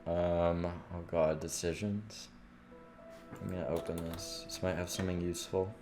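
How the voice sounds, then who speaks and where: A man's voice: sits low, flat delivery, normal speed, darkish tone. A man livestreaming a game, reading visual novel text aloud and dropping in casual, sweary reactions. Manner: casual, profane asides. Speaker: game streamer. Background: game music with guitar.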